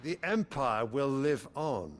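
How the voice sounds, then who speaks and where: An actor playing a cold, ruthless ruler of an empire in a video game drama, speaking in formal, imperious English. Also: male voice, medium pitch, animated. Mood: callous. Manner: imperious, formal, unhurried pronouncements.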